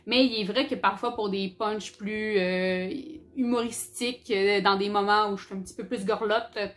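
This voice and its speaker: A woman's voice: medium pitch, steady tone, normal speed. A young woman with a Quebec French accent, from Abitibi, talking candidly and casually about books in her vlog. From Abitibi-Témiscamingue, Quebec. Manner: chatty and candid, with fillers, English words and swearing. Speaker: book YouTuber. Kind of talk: casual book-review vlog.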